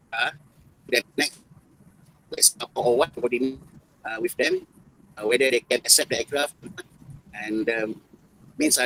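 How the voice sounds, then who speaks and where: A man with a Malaysian accent giving explanatory interview answers in a halting manner with non-native phrasing. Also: mid-range voice, fairly bright tone, slight background noise, poor audio. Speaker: interviewed air traffic control expert.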